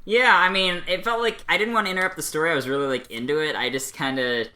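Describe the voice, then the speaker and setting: A woman playing a laid-back friend in a casual comedy podcast, speaking haltingly. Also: low voice, fairly even intonation, pushed and bright. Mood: easygoing. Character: laid-back, easily impressed friend named Anthony.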